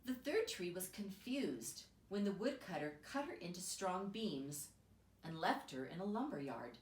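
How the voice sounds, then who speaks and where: A woman reading a children's picture book aloud as an expressive storyteller, recorded in a roomy space away from the microphone. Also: fairly low pitch, unhurried, a little hiss or hum. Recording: roomy echo, distant microphone.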